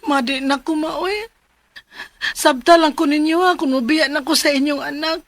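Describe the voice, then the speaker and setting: A woman's voice: high-pitched, steady tone, normal speed, no room sound. A woman playing a desperate, battered young mother in a radio drama, pleading in a Cebuano accent. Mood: anguished pleading. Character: battered young mother, desperate, leaving her abusive husband.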